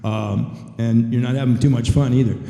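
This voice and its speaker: An older man talks to an audience in a plain, folksy, wry way, like a seasoned performer on a panel. His voice is very dark and mellow. It carries through an echoey hall with long reverb. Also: medium pitch, steady tone, normal speed, creaky, muffled recording. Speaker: veteran voice actor on a convention panel. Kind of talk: conversational advice to an audience. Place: convention panel room.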